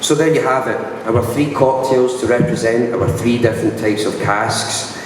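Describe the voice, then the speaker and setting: A man's voice: mid-range voice, normal speed. Scottish man speaking in an echoey hall. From Scotland. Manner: dry self-deprecating humour. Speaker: brand ambassador presenting at a launch event. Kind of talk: event speech to an audience. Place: event hall. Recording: echoey hall from a distance, background noise.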